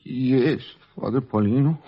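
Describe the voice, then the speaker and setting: Scared young villager, stammering, husky, muffled old radio sound. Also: male voice, mid-range voice. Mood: frightened. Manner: halting, stammering. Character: frightened young male villager. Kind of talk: 1940s radio acting. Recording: dull lo-fi vintage radio recording.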